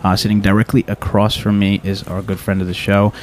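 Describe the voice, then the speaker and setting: A man with a fairly low voice hosts a casual talk show from a studio. He talks in a halting, rambling way, circling back on his phrases, with faint noise behind him. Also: little pitch movement. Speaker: radio show host. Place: radio studio.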